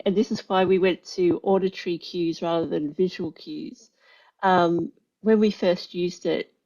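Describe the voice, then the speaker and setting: Chatty Australian woman, lo-fi audio. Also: fairly low pitch. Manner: chatty, animated storyteller with many ums. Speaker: host, linguistics teacher. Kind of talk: casual chat. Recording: slightly dull lo-fi audio.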